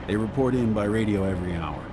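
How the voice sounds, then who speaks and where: An actor playing a practical, cocky accomplice in a video game, talking in a casual, matter-of-fact way. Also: male voice, lowish voice, fast, close to an everyday voice. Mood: brisk, practical. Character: streetwise male accomplice planning a heist, cocky and practical. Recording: loud vehicle and outdoor noise.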